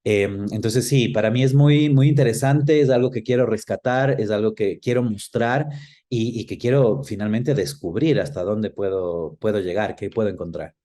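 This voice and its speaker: A man's voice: fairly low pitch, normal speed, warm tone. A man of about forty with an Ecuadorian accent, answering interview questions in a reflective, explanatory way and often searching for words. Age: around 40. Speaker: interview guest.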